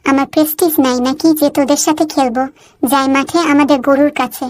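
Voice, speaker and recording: A woman's voice: sits high, fairly even intonation, fast, darkish tone, dry recording, natural voice. A woman voicing a spoiled, bragging cartoon fox child, haughty and taunting. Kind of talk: Bengali cartoon dialogue.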